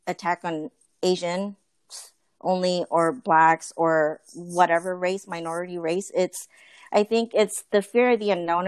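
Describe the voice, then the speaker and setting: A woman's voice: on the low side, dry recording. A woman in a casual group conversation, talking earnestly with many fillers and restarts. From Filipino family.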